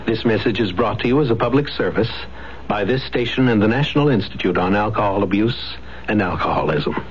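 A man's voice: on the low side, fairly quick. A man reading a scripted radio public service announcement in a questioning, rhetorical manner. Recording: muffled, noisy 1970s radio broadcast.

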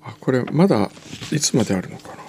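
Playful male radio host, lively pitch swings. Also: quick pace, husky. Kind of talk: casual chat while opening listener gifts and reading messages.

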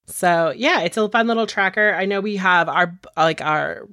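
A woman's voice: on the low side, moderate pace, a bit bright, no room sound. Congested woman podcast co-host, dry sound. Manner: chatty, rambling, lots of "like". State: congested from a cold. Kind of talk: casual chat.

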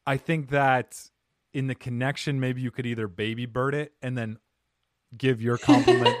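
A man's voice: medium pitch, animated, moderate pace, no room sound, clean studio sound. A man hosting a podcast interview, chatting in a playful, self-deprecating way. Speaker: podcast host. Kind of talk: casual interview chat.

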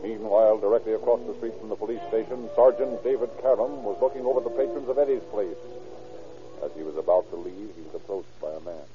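A man acting as the formal narrator of an old-time radio police drama, reading in measured reporting style. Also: on the low side, steady tone, close to an everyday voice. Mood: serious, formal. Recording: dull old radio recording with music.

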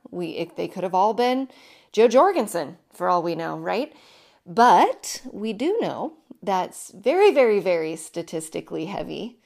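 A woman in her thirties hosting her own podcast, talking casually with emphatic repetition, her voice hoarse and deepened from illness. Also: darkish tone. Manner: chatty, emphatic, repeats herself. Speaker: podcast host. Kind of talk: casual solo podcast monologue.

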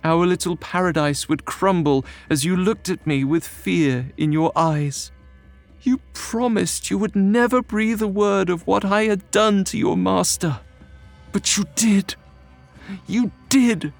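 Casting: male storyteller as a female snow spirit, high-pitched, dramatic, wounded and threatening, music underneath. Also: measured pace, gravelly, no echo. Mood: wounded accusing. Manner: impassioned, accusing, dramatic. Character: anguished snow-spirit wife, grieving, vengeful and threatening.

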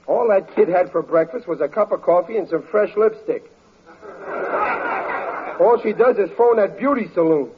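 An actor playing a grumbling, good-hearted working-class husband in a 1940s radio sitcom, with folksy, ungrammatical speech and a blustering manner. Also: male voice, high voice, moderate pace, pauses often, some chatter behind, slight background noise, lightly acted. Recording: tinny, narrow old radio recording.

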